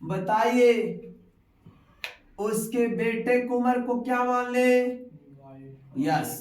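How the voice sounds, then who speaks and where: A man's voice: extremely high pitch, extremely slow. A male maths teacher from Bihar taking a class, explaining step by step and constantly quizzing a pupil. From Bihar, India. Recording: echoey room.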